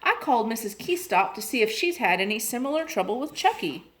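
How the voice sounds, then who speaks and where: A fretting storybook mother, anxious and indignant, her voice rising high as she pours out her worries, played by a woman reading aloud. The pitch swings widely from phrase to phrase. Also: very animated, fairly quick, a bit bright. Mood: worried. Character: upset, fretting mother, middle-aged woman.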